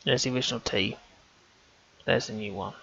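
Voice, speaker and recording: A man's voice: fairly low pitch, fairly even intonation, fairly quick, gravelly, pushed and bright, slightly rough, lo-fi sound. A man talking through on-screen images, casual and hesitant, often restarting his sentences as he thinks aloud. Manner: hesitant, self-correcting, casual. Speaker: amateur researcher.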